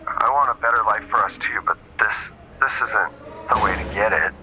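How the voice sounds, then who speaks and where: A man's voice: on the low side, a little fast, lightly acted. A man voicing a hurt, reproachful girlfriend who pleads and scolds in an improvised tabletop roleplay. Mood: sad, firm. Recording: muffled, noisy phone-like sound.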